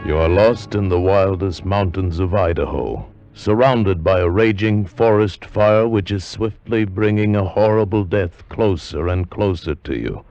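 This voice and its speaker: Ominous low-voiced narrator. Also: male voice, a little slow, hardly any pauses, a little mellow, faint music behind. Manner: grave, dramatic. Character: ominous male narrator of peril. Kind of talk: 1950s radio drama narration. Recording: muffled vintage 1950 radio recording.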